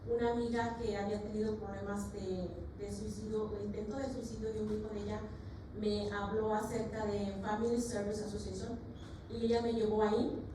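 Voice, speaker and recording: A mother giving a slow, hesitant, grateful personal testimony in a library meeting room. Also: mid-range voice, level tone. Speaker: parent speaker. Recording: very echoey room from far off, noisy.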